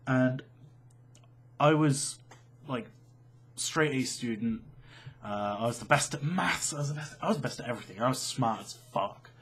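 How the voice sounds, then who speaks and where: A young British man tells a story in a casual, chatty way. His pitch leaps around a lot and his voice has a slightly husky grain. He swears freely and pokes fun at himself as he goes. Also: normal speed, long pauses. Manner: animated, sweary, self-mocking. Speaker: online storyteller.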